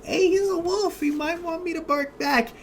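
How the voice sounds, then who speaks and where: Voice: very high voice, moderate pace, slight background noise. A streamer giving casual, slangy, playful gameplay reactions with muttered asides. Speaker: gaming streamer. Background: game music.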